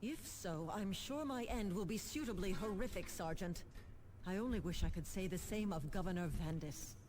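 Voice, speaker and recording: A woman playing a composed, determined government administrator in a sci-fi video game, formal and crisp with dry irony. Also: mid-range voice, lively intonation, normal speed, noisy background, natural voice. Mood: wry, frustrated. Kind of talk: scripted video game acting.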